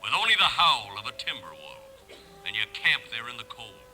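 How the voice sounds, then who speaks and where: A man's voice: mid-range voice, sing-song, normal speed, pushed and bright, faint music behind, low-quality recording. A man acting as a grave, dramatic narrator who declaims frontier verse in 1950s radio style. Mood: ominous. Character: grave, dramatic narrator reciting frontier gold-rush ballads. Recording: old radio broadcast.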